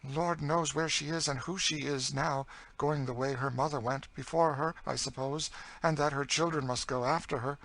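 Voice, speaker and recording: A man's voice: a little high, steady tone, moderate pace, fairly bright tone, pitched above the performer's own voice. A man voicing a bitter, despairing, world-weary enslaved woman in an audiobook, with a dry, emphatic delivery. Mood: bitter, impassioned. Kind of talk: dramatised audiobook reading of a classic novel.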